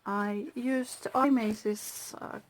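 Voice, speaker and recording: A Finnish-native woman hosting her own craft channel, talking through a handmade journal slowly, with pauses and soft whispered asides. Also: on the high side, steady tone, slight background noise. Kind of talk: casual crafting flip-through.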